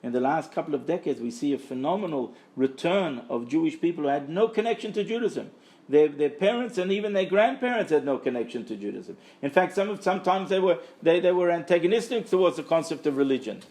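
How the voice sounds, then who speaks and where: A man's voice: fairly high pitch, lively intonation, fast, darkish tone. A man giving a Torah lecture as a religious teacher, speaking emphatically and repeating phrases for stress. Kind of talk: Torah lecture to a live group.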